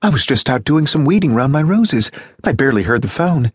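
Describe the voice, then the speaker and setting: A man voicing a cheerful, shrill, high-pitched American housewife who gushes and chatters gaily in an audiobook. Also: big pitch swings, brisk, slightly rough, dry recording, studio quality. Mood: gushing. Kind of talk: audiobook reading.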